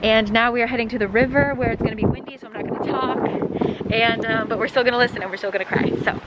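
A young adult woman chatting casually to camera in an animated, informal, digressive way as she vlogs. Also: fairly high pitch, quick pace. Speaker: vlogger and host. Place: outdoors on windy city streets. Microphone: handheld camera at arm's length. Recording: wind and street traffic noise.

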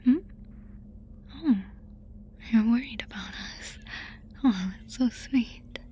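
A woman voicing a playful, affectionate alien abductor in a soft, casual, coaxing whisper for an ASMR roleplay. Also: fairly high pitch, slow. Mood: soothing, affectionate. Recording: noisy ambience behind close whisper.

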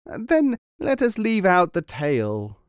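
A man's voice: high-pitched, wildly swooping pitch, slow pace, clean tone, no room sound, studio quality, voice pushed up, full character voice. A man voicing a whining, pain-averse tattoo customer who moans and complains. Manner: moaning, complaining.

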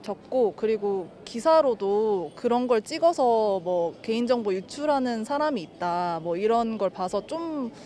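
A woman's voice: a little high, expressive, a little slow, few pauses, mellow. Young woman, candid and reflective, outdoor street interview. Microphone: handheld mic held by interviewer.

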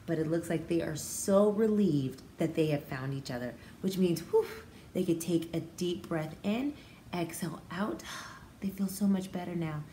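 A woman's voice: fairly low pitch, lively intonation, a little slow, warm tone. An American woman, a pre-kindergarten teacher, reading a story aloud to young children and explaining it expressively in simple words. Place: indoors, small room with hard walls. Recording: roomy echo, faint background noise.

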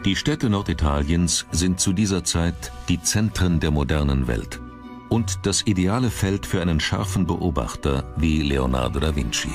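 A man's voice: low voice, normal speed. A man reading scripted documentary narration as the narrator. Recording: music under the voice. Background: background music.

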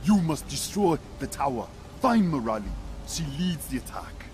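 A scornful alien warrior for a video game. His speech is formal and terse, with dramatic swings in pitch. Faint music plays underneath. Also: very animated, moderate pace, darkish tone. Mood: suspicious. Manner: stern, terse, formal pronouncements. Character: proud, distrustful alien Na'vi warrior, stern and scornful. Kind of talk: video game cutscene acting.

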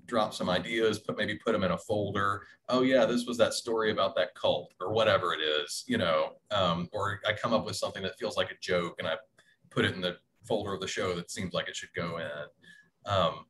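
A man in his thirties with a low voice chats casually on a panel. He speaks haltingly and circles back over his words, with faint noise on the call. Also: steady tone, a bit bright. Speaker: panelist, audio drama writer. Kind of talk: casual chat. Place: small room, indoors, on a video call. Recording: noisy video call with faint room noise.

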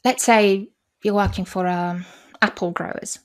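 A woman's voice: medium pitch, steady tone, measured pace. A woman in her forties whose first language is Polish, explaining her work as an interpreter in a video call interview, with frequent restarts and fillers. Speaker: conference interpreter, guest. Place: small room indoors. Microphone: video call, desk mic.

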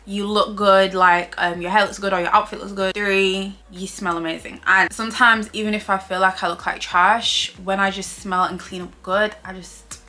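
A woman's voice: mid-range voice, steady tone, moderate pace, hardly any pauses, sharp tone. A young woman from Leeds with a Yorkshire accent, chatting casually to camera as a beauty vlogger in her bedroom. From Leeds, England. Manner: bubbly, talks with her hands. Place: bedroom, indoors.

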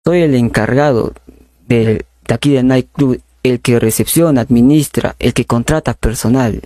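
A young man with a soft, dark tone speaks close to the microphone in a very dry space. He plays a businesslike caller, polite but insistent, often stumbling back over his own words. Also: medium pitch, normal speed, dry recording. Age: young adult. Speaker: prank caller. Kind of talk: phone prank, posing as a businesslike recruiter. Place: small bedroom studio indoors. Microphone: condenser microphone on a boom arm, close.

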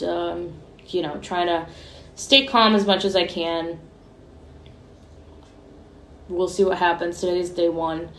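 A woman's voice: lowish voice, creaky, reverberant, a little hiss or hum, rough recording. A young woman from Ukraine, speaking earnestly into a phone held at arm's length, with many fillers and restarts. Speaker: vlogger. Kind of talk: selfie video diary. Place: small room indoors, street outside for some clips.

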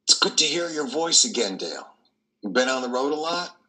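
An older man playing a compassionate priest hearing confession, gentle and measured, in a play reading on a video call. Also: mid-range voice, very animated, rough recording. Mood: kindly, consoling. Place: dim room indoors. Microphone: video call, close to computer.

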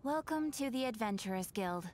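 A woman voicing a polite guild receptionist in a video game, measured, formal and helpful. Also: a little high, lively intonation, darkish tone, slight background noise, natural voice. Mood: composed.

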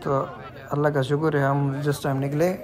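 A man from Kashmir narrating a casual travel vlog as he climbs a crowded mountain path outdoors at dusk, with a steady, flat delivery broken by frequent pious exclamations. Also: fairly high pitch, normal speed, crowd noise. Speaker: travel vlogger. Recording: loud music over the voice.

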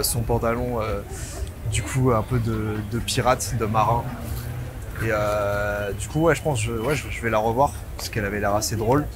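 A young Parisian Frenchman chats casually on a busy street. His voice sits at a medium pitch and his phrasing is hesitant. Clear traffic noise runs behind him. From Paris, France. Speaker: street-approach vlogger. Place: outdoors on a busy city street.

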